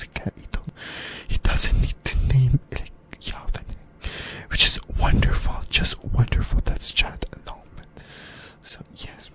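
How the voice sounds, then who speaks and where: Someone whispering in a breathy, unvoiced voice, giving an informal ASMR-style fan discussion of anime with some mild swearing. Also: mid-range voice, a bit bright. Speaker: YouTuber, host of an anime fan channel.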